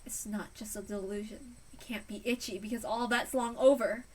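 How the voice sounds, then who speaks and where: A woman voicing a tormented, self-loathing female villain in a hushed, anguished, deliberate read-aloud of a visual novel. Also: on the high side, fairly even intonation, far from the mic, close to an everyday voice.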